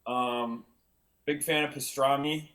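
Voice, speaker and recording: A man's voice: medium pitch, steady tone, slow, fairly bright tone. A man in his twenties, a band member, chatting hesitantly and swearing casually. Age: 20s. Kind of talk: casual chat.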